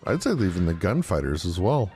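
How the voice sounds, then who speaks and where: A man with a deep, creaky voice chats as a podcast panelist. He is understated and hesitant, and faint music plays behind him. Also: big pitch swings, a little fast, a little mellow. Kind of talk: casual chat.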